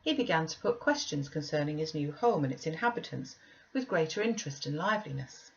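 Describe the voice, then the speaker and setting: Casting: female audiobook narrator for classic fiction, deep register, mildly lo-fi recording. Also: big pitch swings, a little fast, vocal fry. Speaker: audiobook reader. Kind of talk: scripted literary narration.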